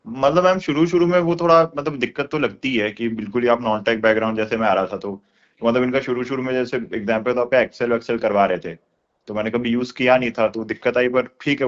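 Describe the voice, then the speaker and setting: A man's voice: mid-range voice, a little fast. A young man explaining things colloquially in a casual phone conversation, with many filler words. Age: young adult. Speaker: course student being interviewed. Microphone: phone call. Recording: dull lo-fi phone call recording.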